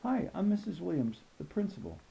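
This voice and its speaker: Storybook role: man as woman school principal, formal, courteous and welcoming, raised pitch. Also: sing-song, a little fast, vocal fry, dark tone, a little hiss or hum, exaggerated voice, low-quality recording. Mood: polite welcome. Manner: polite, formal.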